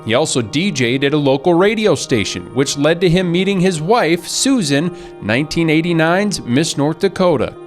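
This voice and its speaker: A man's voice: a little high, lively intonation, moderate pace. A man with an American accent reading scripted narration for a sports feature. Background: background music.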